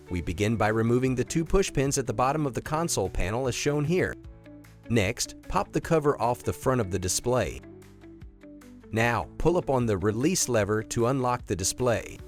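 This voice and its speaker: A man with a medium-pitched voice narrates a scripted how-to guide. He moves through each step clearly and methodically, like a product installation narrator. Music plays faintly behind him.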